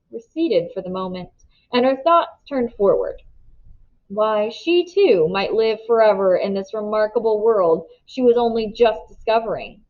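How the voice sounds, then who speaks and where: A woman reading a children's novel aloud in expressive storybook narration, on a slightly dull recording. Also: on the high side.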